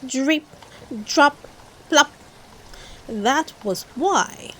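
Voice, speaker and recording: A woman's voice: high voice, animated, very slow, a bit bright. A woman reading a storybook aloud expressively for toddlers, speaking directly to young children as host. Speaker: storybook reader and channel host.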